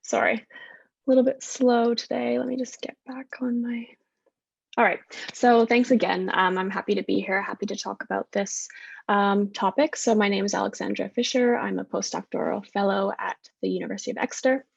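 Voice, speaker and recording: Husky Canadian woman on a video call. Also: medium pitch, little pitch movement, brisk, no room sound. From west coast of Canada. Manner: friendly, many fillers and self-corrections. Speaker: guest speaker, postdoctoral researcher. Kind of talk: online presentation talk. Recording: dull lo-fi video call audio.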